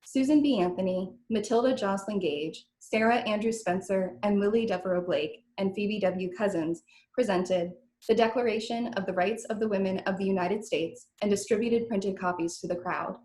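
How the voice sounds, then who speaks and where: A woman's voice: medium pitch, normal speed, darkish tone. A woman giving a scripted historical introduction as a curator presenting a reading. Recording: roomy, echoing sound.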